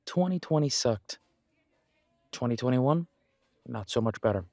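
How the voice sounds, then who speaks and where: A man's voice: medium pitch, animated, darkish tone, no room sound, clean studio sound. A man playing a supportive, self-deprecating best friend in a conversational roleplay audio, talking in a reassuring, reflective way. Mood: candid. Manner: reassuring, chatty, reflective pauses. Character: warm, encouraging male best friend, self-deprecating, gently earnest.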